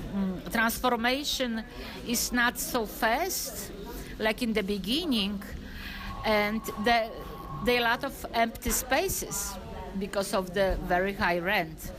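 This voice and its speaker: A woman's voice: fairly high pitch, low-quality recording. Polish-accented woman over crowd chatter. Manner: hesitant, many fillers, halting non-native phrasing. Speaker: interviewee, former business owner. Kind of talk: casual interview answers. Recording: crowd noise in background.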